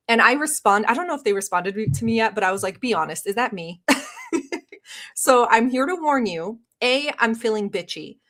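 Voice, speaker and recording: A woman's voice: a little high, fairly bright tone. A woman born in Turkey, Turkish her first language, chatting casually on a live stream, laughing often and reading chat aloud. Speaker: reaction streamer.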